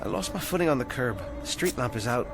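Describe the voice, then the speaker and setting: A man's voice: medium pitch, animated, fast, close to an everyday voice. An actor playing an anxious, self-pitying ventriloquist down on his luck, in classic TV drama style. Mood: nervous. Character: down-on-his-luck male ventriloquist turned thief. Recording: old TV soundtrack with music underneath.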